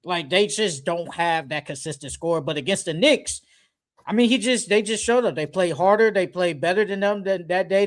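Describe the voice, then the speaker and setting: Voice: medium pitch, fairly bright tone. A sports podcast co-host in a casual basketball debate, speaking in an emphatic, opinionated, slangy way.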